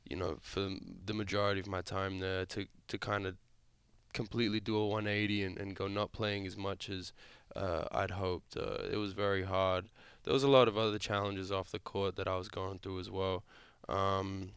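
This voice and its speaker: A young man with an Australian accent, a guest on a podcast, answering in a relaxed, halting way with lots of fillers. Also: deep voice, smooth, dry recording. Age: young adult. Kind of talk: podcast interview.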